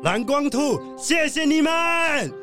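An actor playing a kindly, principled gentleman treasure collector, earnest and expressive, in a children's audio drama. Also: extremely high pitch, slow, over music, heavily acted. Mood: grateful.

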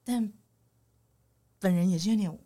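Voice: medium pitch, measured pace, mellow. A podcast host with a Taiwanese Mandarin accent, chatting and interviewing casually in a playful, teasing way.

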